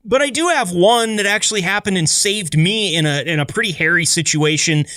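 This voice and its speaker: A man with a somewhat high voice talks in a casual, chatty podcast style. He tells stories as a co-host with a sense of amazement, recorded dry with no room sound. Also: brisk, pushed and bright, dry recording. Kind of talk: casual conversational storytelling.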